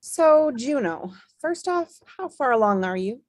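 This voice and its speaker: A woman's voice: on the high side, big pitch swings, a little slow, no room sound, studio quality. A woman reading the part of a formal, businesslike adoption lawyer who explains things in a screenplay table read. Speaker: actor in a live table read.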